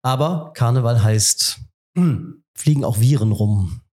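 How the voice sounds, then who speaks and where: A man with a husky, middle-pitched voice, congested from a head cold. He hosts a casual podcast from a rectory kitchen table and feels his way through his thoughts, pausing with fillers before he lands on a phrase. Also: lively intonation, darkish tone. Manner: thinks aloud with many pauses and fillers. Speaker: podcast host. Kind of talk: casual conversation.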